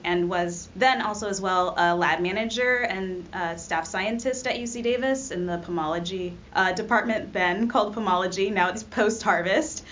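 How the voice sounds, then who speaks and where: A woman's voice: medium pitch, a bit bright. A young woman from Rwanda, a postdoc researcher, explaining her work in an interview with frequent ums and uhs. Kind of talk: conversational science interview. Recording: slightly dull audio with faint noise.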